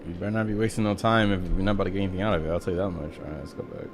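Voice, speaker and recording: A man's voice: low voice, racing, faint music behind. A young man giving casual reaction commentary as a game streamer, slangy and exclaiming, with swearing.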